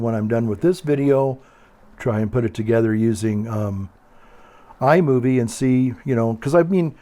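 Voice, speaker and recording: An older man with a calm, quiet voice, chatting casually in a meandering, hesitant way and often repeating himself. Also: mid-range voice. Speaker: video blogger. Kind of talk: casual rambling monologue.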